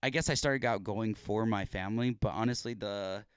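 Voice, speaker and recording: A man's voice: on the low side, moderate pace, clear, resonant tone, dry recording, studio quality. A young man hosting a casual podcast, talking earnestly and rambling with restarts and fillers into a podcast mic. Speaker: podcast host.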